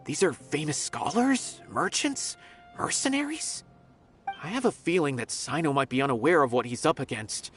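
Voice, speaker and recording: A man's voice: on the high side, expressive, moderate pace, faint music behind, natural voice. A man voicing a thoughtful scholar investigating a case in a video game, reasoning carefully aloud.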